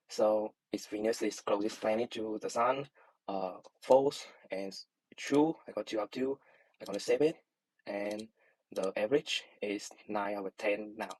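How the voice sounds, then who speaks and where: A young man with a Vietnamese accent, a native Vietnamese speaker, walking through his code in a halting student demo with frequent filler sounds. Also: lowish voice, a little mellow, slightly rough, no room sound, rough recording. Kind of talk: screen-recorded code walkthrough and app demo.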